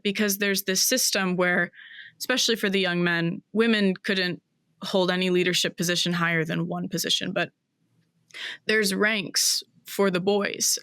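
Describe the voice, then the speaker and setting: Woman, 20s, interview guest; smooth, close dry sound, reflective and searching delivery. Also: medium pitch, a bit bright, no echo. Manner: thoughtful, searching, with many fillers and restarts.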